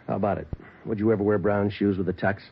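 An actor playing a dogged police detective who questions a suspect in a clipped, matter-of-fact way, in 1940s radio acting. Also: male voice, lowish voice, steady tone, fast, vocal fry, slightly rough, phone-quality sound. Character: dogged male police detective, calm and relentless.

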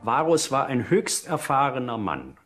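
A man with a medium-pitched voice talks as a history expert. He explains things in the manner of a documentary interview. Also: very animated, normal speed, over music.

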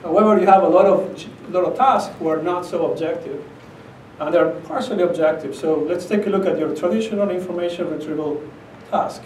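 A man's voice: sits high, brisk, a little hiss or hum. Argentine man lecturing in an echoey hall. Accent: Argentine Spanish. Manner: emphatic, conversational, often checks with "okay?". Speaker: conference speaker. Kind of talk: academic conference talk. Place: conference room with projector screen. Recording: echoey conference room, captured from a distance.

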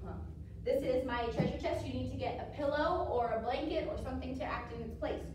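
Echoey, high-voiced American woman teaching ballet. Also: noisy background. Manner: animated, encouraging, sing-song cueing. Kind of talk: dance class instruction for toddlers. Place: indoor dance studio with wooden floor. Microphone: camera several metres away. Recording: very echoey studio, distant microphone, music.

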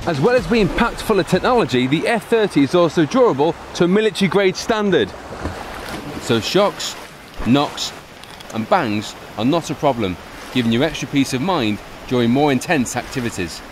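A man reading a scripted product promo voice-over in an even, polished manner. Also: on the high side, normal speed, background noise. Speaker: product video narrator. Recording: voice-over over music bed. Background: background music.

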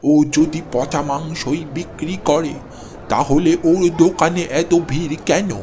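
A man voicing an indignant, sharp-tongued market customer in a cartoon, incredulous and scornful. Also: on the high side, fairly even intonation, unhurried, slight background noise, natural voice. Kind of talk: Bengali cartoon voice acting.

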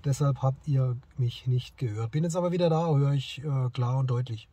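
A man's voice: medium pitch, moderate pace, very noisy. A middle-aged man chatting casually to camera as a CB radio hobbyist, in a car and outdoors. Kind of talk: casual vlog chat and radio calls. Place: inside a parked car and outdoors in snowy forest.

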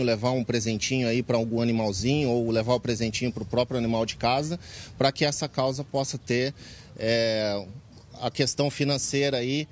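A man's voice: medium pitch, slight background noise. A man in his forties with a Brazilian accent, answering a live TV interview outdoors into a reporter's handheld mic. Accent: Brazilian Portuguese. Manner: earnest, explanatory, many fillers. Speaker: interviewee, club president. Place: outdoors in a park under a tent.

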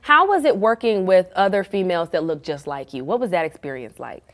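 A woman's voice: fairly low pitch, expressive, fairly quick. A middle-aged woman hosting an upbeat lifestyle TV interview in a studio, effusive and encouraging. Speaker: TV show host. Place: small indoor TV studio. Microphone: lapel mic.

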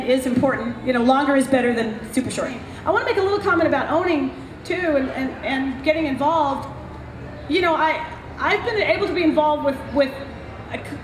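A woman's voice: high-pitched, reverberant, slight background noise, rough recording. A middle-aged woman veterinarian explaining points to an audience at an outdoor clinic, speaking into a handheld mic. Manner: explanatory, emphatic teaching with gestures. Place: outdoor paddock at a racetrack.

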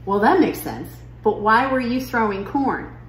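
A woman's voice: on the high side, animated, measured pace. Storybook mother, played by a woman: incredulous, eager, emphatic questioner, recorded in a roomy space with noise. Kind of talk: children's picture book read-aloud. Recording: roomy living room with noise.

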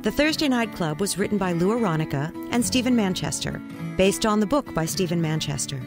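Woman announcer over clear guitar music. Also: mid-range voice, lively intonation, fairly quick. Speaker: credits announcer. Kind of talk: scripted narration. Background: plucked guitar music.

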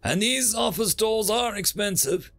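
A man's voice: high-pitched, expressive, a little slow, a bit bright, soft background music, voice pushed up, heavily acted. A man voicing a wry, teasing professor who is gleefully unsympathetic, in a story reading. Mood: smug, amused.

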